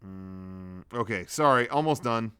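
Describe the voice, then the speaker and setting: A man's voice: fairly low pitch, animated, unhurried, sharp tone, clear, resonant tone. A man hosting a comedy web show, reading out his own drafts and adding blunt, sweary, casual commentary.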